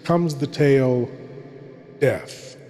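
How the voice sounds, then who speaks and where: A man hosting a horror radio show, narrating a scripted introduction in a slow, hushed and theatrical way. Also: mid-range voice. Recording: long reverb, as in a hall.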